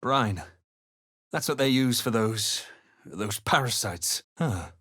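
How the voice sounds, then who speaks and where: A man playing a serious, articulate fantasy hero in a game, with deliberate and grave dramatic delivery. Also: medium pitch, expressive, sharp tone, breathy edge, no echo, studio quality, full character voice. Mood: serious, musing. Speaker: player character voice actor.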